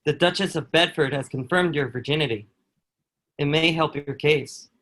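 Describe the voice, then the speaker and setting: Man playing steadfast protective soldier, lightly high voice. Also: moderate pace, fairly bright tone, close to an everyday voice. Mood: gentle, sympathetic. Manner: earnest, formal period phrasing. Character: protective, steadfast soldier, kind friend to condemned girl. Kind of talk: dramatic table read of historical play.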